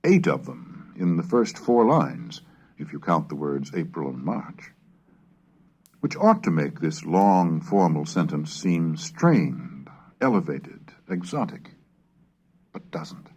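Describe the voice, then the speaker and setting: A man reading scripted lectures and old verse in a measured, scholarly manner, as a lecturer with a husky voice. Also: rumbling low, very animated, darkish tone, dull audio. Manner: measured, scholarly, declamatory when reciting. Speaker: lecturer and reader. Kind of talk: scripted narration on English language history, with Middle English recitations.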